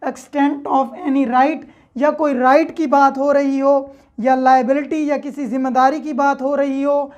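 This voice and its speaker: A woman's voice: high-pitched, level tone, a little slow, darkish tone, muffled recording. A woman with a Pakistani accent giving an explanatory law lecture as a teacher, moving between reading aloud and simple explanation. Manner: reads clauses aloud, then explains with simple examples.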